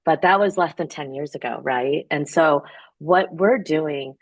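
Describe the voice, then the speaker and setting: Woman, very low voice, dry close sound, earnest, measured, founder guest. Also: no echo. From born in Korea, raised in the United States. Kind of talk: casual interview answers.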